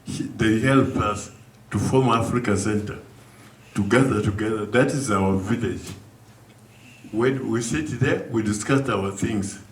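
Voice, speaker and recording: Older man, echoey distant speech. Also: lowish voice. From Uganda. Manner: earnest, halting storytelling with restarts. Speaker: guest speaker. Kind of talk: community speech. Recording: echoey room from far off.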